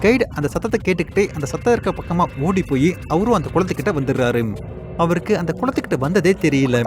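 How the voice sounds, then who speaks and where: A man narrating a spooky cartoon story in an animated, storytelling voice, over loud background music. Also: on the high side, quick pace. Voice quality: lively storytelling.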